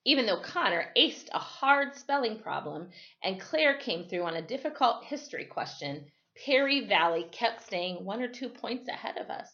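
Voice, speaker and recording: A woman's voice: a little high, lively intonation, normal speed, fairly bright tone, highs cut off. A woman teacher reading a story aloud expressively, with dramatic emphasis and the odd corrected slip. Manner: reads in the first person with dramatic emphasis, correcting slips.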